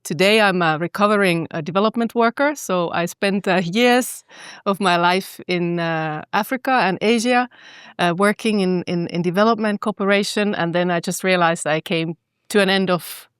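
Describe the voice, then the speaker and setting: A middle-aged woman from southern Finland, speaking thoughtfully as a podcast guest, pausing and restarting her phrases. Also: medium pitch, clear, resonant tone, dry recording, studio quality. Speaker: podcast guest, cultural institute director. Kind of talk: reflective conversational talk.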